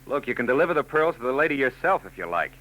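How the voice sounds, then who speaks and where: An actor playing a world-weary private eye with a laconic, hardboiled delivery, in 1940s radio-drama style. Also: male voice, medium pitch, big pitch swings, fast, bright, forceful tone, poor audio. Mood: wry.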